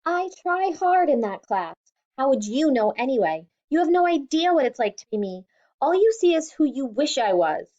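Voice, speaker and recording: A woman voicing a hurt, defiant teenage daughter in an audiobook, sharp and heated. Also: high-pitched, very animated, normal speed, muffled recording, pitched above the performer's own voice. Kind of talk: audiobook reading.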